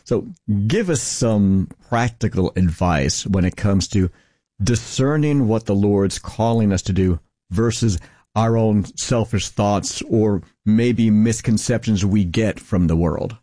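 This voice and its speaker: A man's voice: lowish voice, takes it slowly, warm tone, no room sound. A man hosting a radio interview, introducing his guest and putting questions to him. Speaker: interview host.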